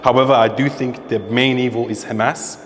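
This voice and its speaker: A man's voice: medium pitch, steady tone, normal speed. An emphatic man from Iran argues his views in a podcast interview, repeating key points for weight. His voice carries a long reverb from the room. A faint hiss of noise sits underneath. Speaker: interviewee, social media creator. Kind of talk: opinionated conversation.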